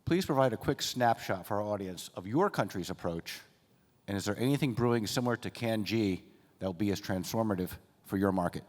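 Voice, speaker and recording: A man's voice: lowish voice, animated, brisk, darkish tone. A man moderating a conference panel, introducing speakers and putting questions to them with upbeat, scripted lines. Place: large conference hall. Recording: conference hall reverb.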